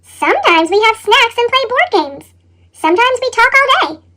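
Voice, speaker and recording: An earnest little couch potato from a storybook, played by a woman, squeaking in an extremely high chipmunk voice. The delivery is dramatic and excitable, leaping up and down in pitch. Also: normal speed, exaggerated voice. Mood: cheerful, earnest. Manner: exclaiming, dramatic, expressive. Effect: sped-up chipmunk pitch shift.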